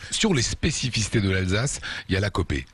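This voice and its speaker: Husky-voiced male TV host, brisk questioner. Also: on the low side, sing-song. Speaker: TV interviewer. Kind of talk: TV interview questions.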